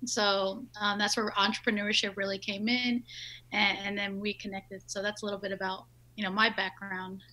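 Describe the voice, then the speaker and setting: A woman's voice: mid-range voice, flat delivery, normal speed, sharp tone, low-quality recording. A woman in her early thirties chatting casually as an interview guest, telling her story and often checking in with the listener. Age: early 30s. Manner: chatty, checks in with "right?" and "you know". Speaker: podcast guest, business owner.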